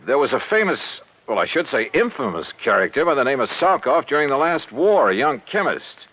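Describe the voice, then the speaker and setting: Give this the sound of a 1950s radio detective: a tough, skeptical male investigator. His pitch swings widely as he probes. The recording is dull and vintage. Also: sing-song, brisk. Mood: probing. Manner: probing, skeptical. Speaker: lead radio actor.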